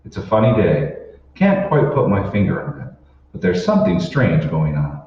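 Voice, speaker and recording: A bewildered everyman played by a man in a vintage radio play, hesitant and irritable. His lowish voice swings up and down, heard from a distance in a very roomy space. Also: sing-song, moderate pace. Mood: uneasy, brooding. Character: puzzled, irritable married office man in sci-fi radio play. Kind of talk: old-time radio drama acting. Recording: roomy, muffled, distant stage recording with noise.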